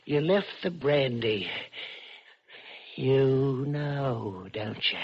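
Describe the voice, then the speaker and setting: A dull old radio recording carries a paranoid working-class killer. His voice is husky and rough, and he speaks slowly, with suspicion and accusation. It is thriller acting from the radio age. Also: mid-range voice, lively intonation, exaggerated voice. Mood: menacing, accusing. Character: menacing, paranoid working-class husband who murders.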